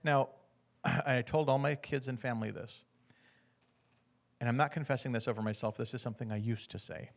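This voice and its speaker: A man's voice: mid-range voice, steady tone, brisk, pauses often. A middle-aged American man telling a faith testimony as a story, acting out the people in it and laughing now and then. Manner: acts out other people's lines, often laughing. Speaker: guest speaker sharing a testimony.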